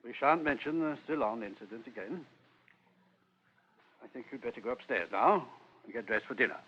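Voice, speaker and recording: A man's voice: mid-range voice, animated, moderate pace, husky. An actor playing a stern, disappointed middle-aged British father in a vintage radio drama, curt and formal as he scolds. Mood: exasperated. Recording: dull vintage radio recording.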